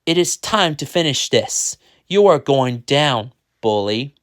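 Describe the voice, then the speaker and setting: A storyteller voicing a heroic, emotional father with loud, dramatic declarations. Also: mid-range voice, lively intonation, takes it slowly, dry recording, clean studio sound. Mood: fierce.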